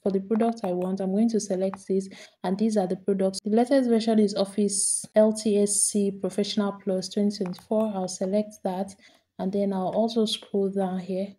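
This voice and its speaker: Woman, mellow tone, flat even delivery, step-by-step tutorial voice-over. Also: mid-range voice, moderate pace. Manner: steady step-by-step instruction, repeats each step. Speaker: tutorial host. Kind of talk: screen-recorded software tutorial.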